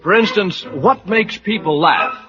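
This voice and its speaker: A man's voice: sits high, unhurried, bright, forceful tone. A man playing a formal, inquisitive questioner in a 1940s radio programme. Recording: dull 1940s radio recording, music.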